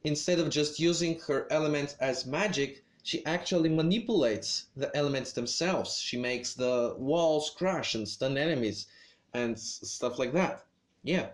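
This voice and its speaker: A man talking through his opinions on a video game character in a hesitant, measured way, with lots of pauses and restarts. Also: fairly high pitch. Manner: hesitant, many fillers and restarts. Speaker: gaming video creator. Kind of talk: game commentary vlog.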